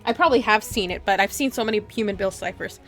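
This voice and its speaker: A woman hosting a game livestream, chatting casually and reading story narration aloud in an animated, giggly way. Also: medium pitch, quick pace, faint music behind. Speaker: livestream host playing a visual novel. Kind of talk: casual stream chat and dramatic read-aloud.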